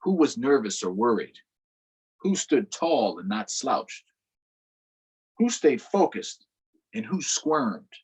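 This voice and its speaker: A man reading a picture book aloud to children in an unhurried way, pausing for short explanatory asides. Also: mid-range voice, big pitch swings, a little mellow, slightly rough, rough recording. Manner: unhurried, adds explanatory asides to young listeners. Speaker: storytime reader.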